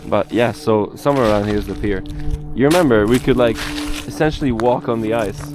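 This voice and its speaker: A man filming a casual travel vlog outdoors by a frozen lake, talking excitedly, laughing at himself and using plenty of fillers. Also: mid-range voice, fairly quick, over music. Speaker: travel vlogger. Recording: noisy outdoor recording.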